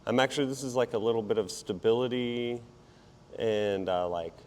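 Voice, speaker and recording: A man with a hoarse, partly lost voice talks casually and self-deprecatingly as a fitness vlogger while he exercises. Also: mid-range voice, normal speed, a little mellow. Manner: informal, self-deprecating, rambling while exerting himself. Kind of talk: casual workout vlog chat while exercising.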